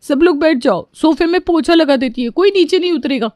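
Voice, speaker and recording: A woman's voice: sits high, animated, brisk, darkish tone, no room sound, clean studio sound. A woman playing a blunt, skeptical household onlooker in a cartoon story. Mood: bossy.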